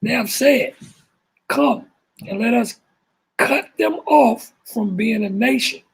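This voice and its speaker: A man with a high, very husky voice and a gravelly edge reads verses aloud for the group. He takes the words slowly and deliberately. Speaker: panel member reading scripture.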